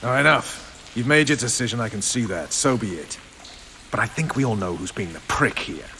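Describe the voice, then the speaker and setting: An actor playing a gruff, cynical military commander in a video game, blunt and sardonic. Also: male voice, mid-range voice, expressive, normal speed, pushed and bright, a little hiss or hum. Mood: indignant, sarcastic. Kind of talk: video game cutscene acting. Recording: faint rain and ambience behind.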